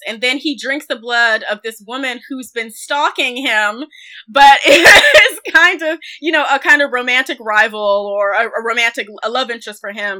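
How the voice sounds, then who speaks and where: A woman with a fairly high voice and a bright, crisp edge co-hosts a casual film discussion. She is animated and opinionated, with a sardonic streak. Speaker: film podcast co-host.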